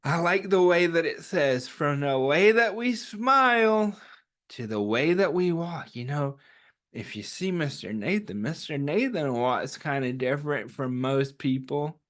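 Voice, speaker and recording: Animated, slow-paced man reading to kids. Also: a little high. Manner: animated, encouraging, asks questions and answers them himself. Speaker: children's story time host. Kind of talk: read-aloud and chat to young children.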